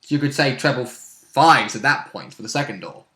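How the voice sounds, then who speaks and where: Male tabletop player, forceful bright tone, medium pitch, jokey casual chat, roomy recording. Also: animated, normal speed. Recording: echoey untreated room.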